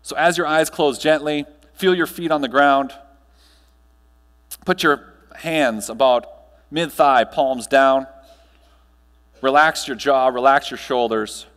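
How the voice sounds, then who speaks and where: An American man in his thirties speaking as a trainer to an audience, guiding them in a measured, instructional way with deliberate pauses. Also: mid-range voice, fairly bright tone, big-room sound. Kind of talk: guided breathing meditation and talk to an audience. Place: large hotel conference ballroom, on stage.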